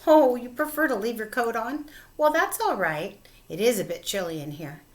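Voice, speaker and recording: A woman's voice: a little high, big pitch swings, normal speed, voice pushed up. Woman doing a chirpy kindly old lady. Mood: cheerful. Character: kindly elderly woman, warm and fussing.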